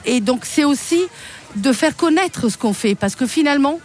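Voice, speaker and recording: High-voiced Italian-accented woman in interview. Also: expressive, moderate pace, darkish tone, a little hiss or hum. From Italy. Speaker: interview guest, corporate communications producer and presenter. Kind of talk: conversational interview answers.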